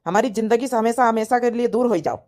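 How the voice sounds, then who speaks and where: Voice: medium pitch, fast, a little mellow, lightly acted. A performer voicing a furious, accusing village man in an Awadhi cartoon comedy, scolding in a melodramatic way. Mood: bitter. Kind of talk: dramatic comedy cartoon dubbing.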